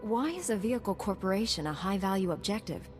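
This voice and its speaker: A woman voicing an earnest, resolute Jedi Knight hero in a video game, with a measured, firm way of talking. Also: mid-range voice, animated, close to an everyday voice. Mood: composed, curious. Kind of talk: video game cutscene acting. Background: game music.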